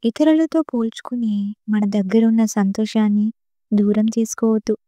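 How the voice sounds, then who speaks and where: Mellow woman narrating a children's story. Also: on the high side, moderate pace, no room sound.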